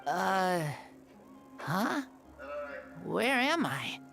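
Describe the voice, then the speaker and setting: Woman doing a meek game child, dreamy, hesitant, slow, croaky edge, music behind. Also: mid-range voice, very animated, exaggerated voice. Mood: dazed. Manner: halting, dreamy. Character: meek, wonderstruck frail young child just waking. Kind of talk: video game voice acting. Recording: music under the voice.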